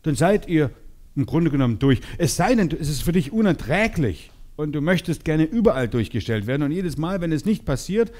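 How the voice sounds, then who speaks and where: A man in his forties working as a sales trainer, talking to seminar participants in an animated, teasing way and acting out bits of dialogue. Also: a little high, brisk. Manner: animated, teasing, role-plays short dialogues. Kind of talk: lively seminar talk to participants. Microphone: headset.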